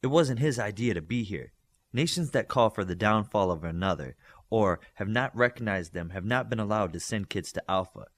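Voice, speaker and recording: A man's voice: fairly low pitch, lively intonation, normal speed. A man voicing a teasing, knowing young telepath girl in an audiobook story. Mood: matter-of-fact. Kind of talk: audiobook character dialogue.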